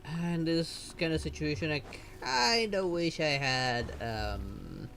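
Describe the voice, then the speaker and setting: Low-voiced woman commentating a game. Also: lively intonation, slow, vocal fry, a bit bright, slight background noise. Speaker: gaming streamer.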